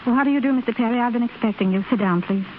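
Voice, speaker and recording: Woman acting composed young female widow, polite, higher voice, vintage radio drama, faint hiss. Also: narrow, tinny audio, close to an everyday voice. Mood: composed, gracious. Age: young adult. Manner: polite, measured, refined. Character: gracious, composed young widow, quietly grieving. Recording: scratchy, thin 1930s radio broadcast recording.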